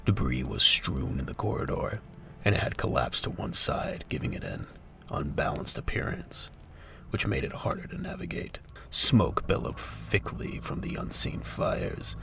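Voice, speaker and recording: Very deep, gravelly male narrator. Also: very animated, measured pace, pushed and bright. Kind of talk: scripted dramatic story narration.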